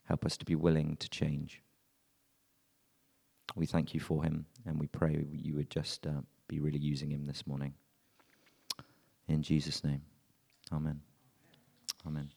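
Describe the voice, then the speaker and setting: Deep gravelly man, echoing church. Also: monotone, mellow. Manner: measured, earnest reading. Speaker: scripture reader and prayer leader. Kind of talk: Bible reading and prayer. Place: church hall. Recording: long reverb of a church hall.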